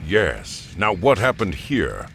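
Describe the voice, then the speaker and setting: Blunt, expressive soldier. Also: male voice, low voice, slow, creaky, a little hiss or hum, full character voice. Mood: brusque. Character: gruff, blunt soldier and loyal second-in-command. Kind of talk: dramatic video game acting.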